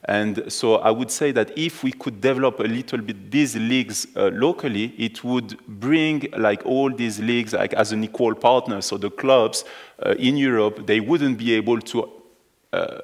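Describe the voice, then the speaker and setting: A man's voice: fairly low pitch, moderate pace. Hesitant French-accented man on a panel. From France. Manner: hesitant, many restarts and fillers. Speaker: panel speaker. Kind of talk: conference panel discussion.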